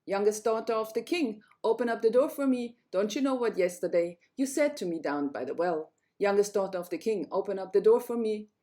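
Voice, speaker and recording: A woman's voice: mid-range voice, lively intonation, fairly quick, hardly any pauses, dark tone, lightly acted. A woman voicing an insistent male talking frog in a fairy tale, pleading and demanding.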